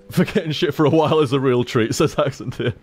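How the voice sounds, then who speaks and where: A man's voice: medium pitch, darkish tone. A man streaming, chatting casually as he reads out viewer tips, rambling, repeating himself and swearing freely.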